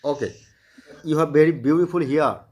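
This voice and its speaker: A man's voice: a little high, brisk, darkish tone, slight background noise. A man from Bangladesh with a Bangladeshi accent, hosting a casual video chat in simple, repetitive English full of compliments.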